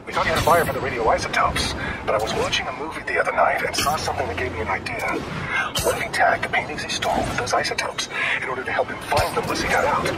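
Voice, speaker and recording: An actor playing a dogged, businesslike veteran police detective near retirement in a video game. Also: male voice, medium pitch, quick pace, lightly acted. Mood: earnest, dogged. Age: older. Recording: distant voice under loud city noise.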